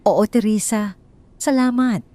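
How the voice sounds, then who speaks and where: A woman's voice: mid-range voice, unhurried, mellow, no echo, pitched above the performer's own voice, natural voice. A flustered businessman husband caught in his own lies, voiced by a woman narrator. He is anxious and demanding, in the manner of a melodramatic audio story. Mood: defeated.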